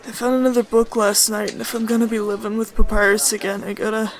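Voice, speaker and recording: A woman playing a worn-out, worried male skeleton in an audio drama, halting and evasive. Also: fairly high pitch, level tone, close to an everyday voice. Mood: weary, resigned.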